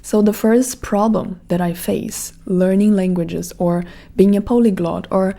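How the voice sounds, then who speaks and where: A woman with a Brazilian Portuguese accent speaks in a relaxed, mellow tone at a middle pitch. She muses aloud as a podcast host, wandering through her thoughts with plenty of "like" fillers. Her delivery is casual and reflective. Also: a little slow, vocal fry. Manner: reflective, rambling with many "like" fillers. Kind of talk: casual monologue.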